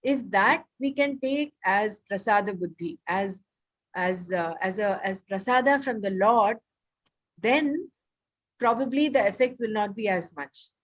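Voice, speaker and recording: A woman leading a casual scripture study group over a video call, explaining terms patiently and reading passages slowly. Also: mid-range voice. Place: home, remote meeting.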